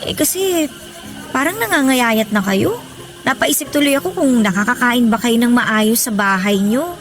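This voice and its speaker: A woman's voice: fairly high pitch, expressive, normal speed, faint music behind, lightly acted. A woman with a Filipino accent playing a polite, caring younger friend of an older woman in a radio drama. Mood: concerned, kindly. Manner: polite, solicitous.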